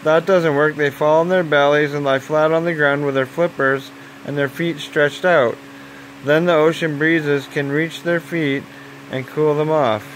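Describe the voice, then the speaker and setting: A man narrating a children's nature story aloud in simple, deliberate phrases, as if at bedtime. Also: fairly high pitch, steady tone, slight background noise, low-quality recording.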